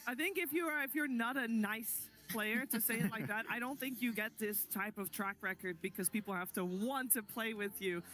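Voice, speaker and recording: A woman with a fairly high voice hosts an esports panel. She presents talking points and puts questions to analysts in a broadcast style. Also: normal speed, hardly any pauses, bright, forceful tone. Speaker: panel host.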